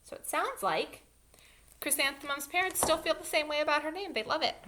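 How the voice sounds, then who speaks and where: A woman reading a children's story aloud in an animated, emphatic way, drawing out words for young listeners. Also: high-pitched, fairly quick, noisy background. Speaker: children's read-aloud host. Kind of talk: expressive storybook reading with asides.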